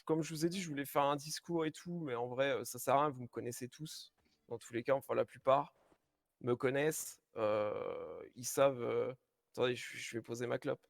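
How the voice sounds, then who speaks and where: A man speaking as a mayoral candidate at a casual roleplay press conference, frank and self-assured but halting, full of fillers and restarts. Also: medium pitch, creaky, no room sound. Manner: hesitant, many fillers and restarts.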